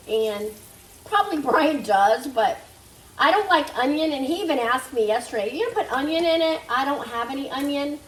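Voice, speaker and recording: High-voiced chatty woman at the stove. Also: fairly bright tone, slight background noise. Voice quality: slightly nasal. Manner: chatty, talks to viewers as "guys" while working at the stove. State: recovering from a fever. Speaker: cooking vlogger. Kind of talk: casual chat while cooking. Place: home kitchen. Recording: echoey kitchen, mic a bit far off. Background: sizzling meat in a skillet.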